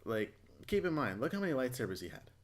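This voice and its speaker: A man's voice: mid-range voice, fast talker. Rambling, foul-mouthed male podcast host. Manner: rambling, profane, animated retelling. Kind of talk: casual podcast chat.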